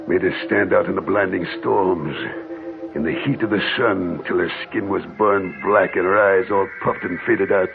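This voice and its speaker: An actor playing a vengeful, murderous old gold miner with an American accent, menacing and folksy in an old radio horror drama. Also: male voice, sits low, gravelly. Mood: brooding, bitter. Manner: menacing, rustic folksy speech, drawn-out dramatic storytelling. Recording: muffled vintage radio recording with music.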